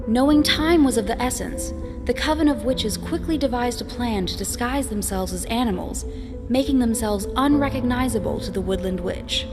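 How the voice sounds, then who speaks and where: A woman narrating a scripted fantasy story in a solemn, deliberate, dramatic way. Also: a little high. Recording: reverberant hall, music behind.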